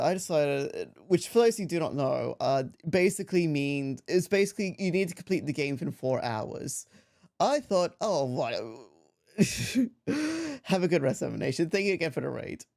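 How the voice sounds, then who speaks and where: A man's voice: fairly high pitch, gravelly, darkish tone. A young man from Australia chatting casually on a live game stream, rambling with frequent laughs and restarts. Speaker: livestreamer. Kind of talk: casual live-stream chat while gaming.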